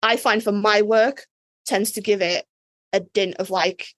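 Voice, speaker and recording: A woman's voice: mid-range voice, fairly even intonation, measured pace, a bit bright, no echo, clean studio sound. A woman co-hosting a podcast in a chatty, playful way, often restarting her sentences. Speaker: podcast co-host. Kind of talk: casual chat.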